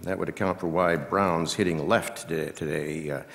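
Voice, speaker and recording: A man playing a seasoned, folksy baseball radio announcer, giving play-by-play with measured asides that break into punchy, drawn-out calls. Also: sits low, steady tone, vocal fry, a bit bright. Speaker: reader performing a scripted baseball commentary. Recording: long hall reverb from live venue.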